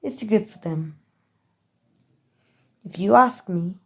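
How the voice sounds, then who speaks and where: A woman's voice: medium pitch, takes it slowly, darkish tone. A woman playing a haughty, scheming evil queen in an ASMR roleplay, with a regal, theatrical, condescending manner. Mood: indulgent, haughty. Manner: regal, theatrical, condescending, drawling with amused disdain. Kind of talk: ASMR roleplay audio drama.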